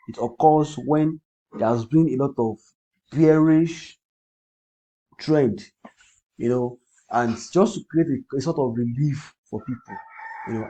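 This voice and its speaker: Husky male voice, Nigerian accent, native Yoruba speaker, mellow tone, casual livestream host, repetitive phrasing. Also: mid-range voice, low-quality recording. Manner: repeats phrases, often tags sentences with okay. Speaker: crypto trading livestream host. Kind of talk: casual livestream commentary, answering comments.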